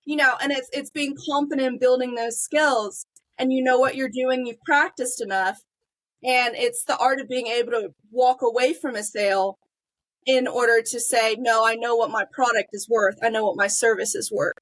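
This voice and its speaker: High-voiced chatty female podcast host. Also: steady tone, moderate pace, a bit bright, rough recording. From Macedonia. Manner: chatty, tells anecdotes with acted quotes. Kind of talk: podcast interview.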